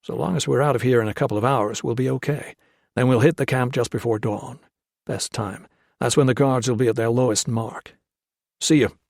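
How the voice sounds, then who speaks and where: A man's voice: medium pitch, steady tone, a little fast, gravelly, darkish tone, dry recording, studio quality. A man voicing a shrewd, brash soldier-trader who talks in a blunt, matter-of-fact way.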